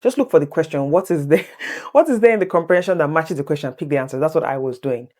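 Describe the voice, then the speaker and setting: A woman in her thirties telling her exam story to viewers in a chatty, animated way. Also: sits low, fast. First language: English. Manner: animated, chatty, addresses viewers as guys, laughs easily. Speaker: vlogger sharing exam tips. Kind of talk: casual personal storytelling to camera.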